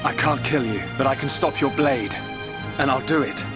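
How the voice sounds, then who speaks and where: A man playing a young video game hero with a mid-pitched voice. He is earnest and compassionate, plain-spoken yet firmly determined. Music is clearly heard behind him. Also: moderate pace, natural voice. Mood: gentle resolve. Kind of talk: dramatic video game cutscene acting.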